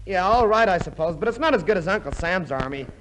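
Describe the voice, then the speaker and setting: A wry army private in 1950s radio comedy style. He grumbles in a high voice. The old recording is plainly noisy. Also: animated, fast talker, a bit bright.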